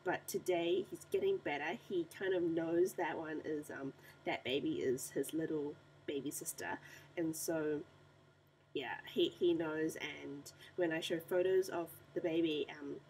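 Croaky young woman chatting on a webcam. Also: deep voice, fairly even intonation, unhurried, darkish tone, slight background noise, poor audio. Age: young adult. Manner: chatty and rambling, many ums. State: croaky voice from the flu. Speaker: beauty vlogger. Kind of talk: casual unboxing vlog to camera. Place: small bedroom indoors. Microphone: webcam at desk distance.

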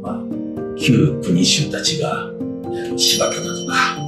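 A man in his sixties explaining as a guest expert in an informal interview, in halting fragments full of fillers. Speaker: guest expert on samurai history. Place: small indoor room. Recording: roomy, distant mic, background guitar music. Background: guitar music.

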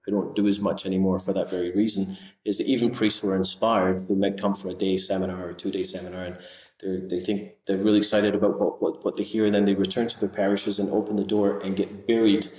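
A man's voice: low voice, little pitch movement, a little fast, a little mellow. A middle-aged male priest talking earnestly in an interview, often repeating words as he starts a phrase. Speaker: priest and author. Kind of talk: interview conversation. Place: sitting room with large windows. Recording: roomy, from far off.

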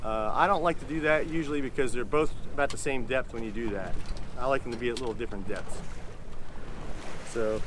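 A man's voice: medium pitch, fairly quick. Folksy man on a noisy boat. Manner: relaxed, folksy, explains while working the rods. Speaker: fishing channel host. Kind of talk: casual how-to fishing demonstration. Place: open fishing boat on the water outdoors. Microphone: action camera mounted on the boat. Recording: noisy outdoor boat recording. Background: loud wind, engine and water noise.

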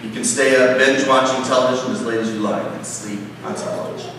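A man's voice: medium pitch, quick pace, fairly bright tone, slight background noise. A pastor in his thirties giving a sermon at a pulpit, conversational and emphatic, with rising lists and gestures. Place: large church sanctuary, at a pulpit. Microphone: pulpit mic, filmed from far camera. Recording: echoey church hall from far off.

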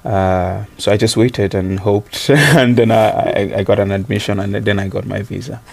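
A man's voice: lowish voice, steady tone, moderate pace, vocal fry. A man, answering interview questions thoughtfully, with many ums and an easy laugh. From Nigeria. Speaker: interview guest, student.

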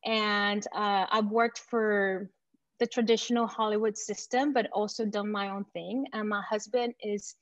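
Confident woman pitching over dull video-call audio. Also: fairly even intonation, unhurried, dry recording. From Venezuela. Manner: confident, enthusiastic, promotional. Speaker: company founder pitching to investors. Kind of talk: startup pitch presentation.

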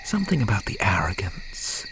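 A man playing an obsessed serial killer in a horror ASMR roleplay, coaxing and menacing in a soft, often whispered voice with false friendliness. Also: sits low, sing-song, moderate pace, creaky, noisy background, full character voice. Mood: gloating, menacing. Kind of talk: ASMR horror roleplay, 90s slasher pastiche.

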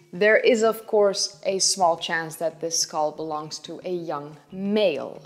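Dutch accent girl in her 20s, bit low pitched, talking history to the camera on a lapel mic. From Netherlands. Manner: chatty, explaining with hand gestures. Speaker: history channel host. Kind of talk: educational video talk to camera. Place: living room with a sofa.

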